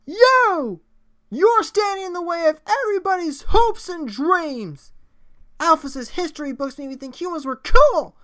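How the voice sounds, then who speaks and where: A man's voice: extremely high pitch, animated, unhurried, a bit bright, exaggerated voice. A man voicing a fierce, proud female fish warrior from a video game, with a dramatic, menacing delivery. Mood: furious, shouting. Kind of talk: character voice acting of video game dialogue.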